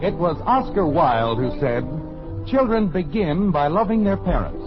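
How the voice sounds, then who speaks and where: A man's voice: fairly high pitch, very animated, unhurried. A man narrating a script with wry polish in 1950s radio announcer style. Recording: muffled old radio broadcast with music. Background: music.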